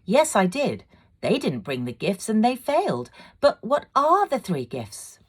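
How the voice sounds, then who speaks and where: An eager young storybook girl, played by a woman, pitched high. She talks in an animated, earnest way. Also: a little slow, husky, full character voice. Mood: eager, puzzled. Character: bright, eager young girl, daughter of a firework maker.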